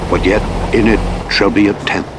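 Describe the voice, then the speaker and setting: A man reading scripture as a narrator in a solemn, measured, declamatory manner. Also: fairly low pitch, little pitch movement. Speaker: audiobook Bible narrator. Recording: engine and road noise over playback. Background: truck simulator vehicle noise.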